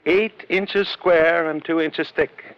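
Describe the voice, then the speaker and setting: An actor playing an anxious, trusting research doctor in 1950s radio drama, hesitant and stammering. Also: male voice, a little high, fairly even intonation, slow. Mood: explaining anxiously. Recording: dull vintage radio recording.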